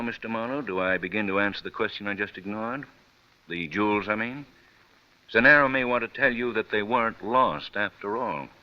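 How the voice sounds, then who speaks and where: A stern prison warden played by a male actor in an old radio drama. His voice is very deep, his delivery formal, measured and shrewd, and the recording is dull and dated. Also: lightly acted. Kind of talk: mid-century American radio drama acting.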